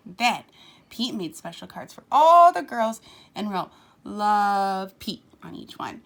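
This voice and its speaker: A woman's voice: fairly high pitch, sing-song, measured pace, gravelly, a bit bright. A woman reading a picture book aloud to young children like a teacher, expressively, with simple asides and questions to the listeners.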